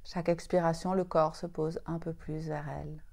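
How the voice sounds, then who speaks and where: A woman leading a guided yoga nidra meditation, speaking soothingly in short, unhurried phrases with long pauses. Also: low voice, flat delivery, creaky, darkish tone.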